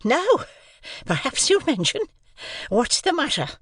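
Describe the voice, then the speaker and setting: A man reading aloud and voicing a sharp-tongued, bad-tempered grown sister with a shrill, scolding voice. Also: big pitch swings, normal speed, no echo, clean studio sound.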